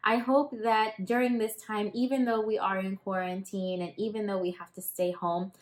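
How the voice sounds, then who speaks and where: A woman's voice: medium pitch, little pitch movement, moderate pace, poor audio. A woman teacher reading a storybook and talking to young children in an encouraging way, with simple phrasing. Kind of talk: storybook read-aloud and gentle address to children.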